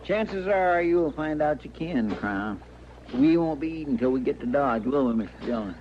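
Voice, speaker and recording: An actor playing a talkative, eager deputy sidekick with an American accent and a rustic, deferential manner in a radio western. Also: male voice, mid-range voice, animated, moderate pace, darkish tone, close to an everyday voice. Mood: needling. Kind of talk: old-time radio western acting. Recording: muffled, noisy old radio recording.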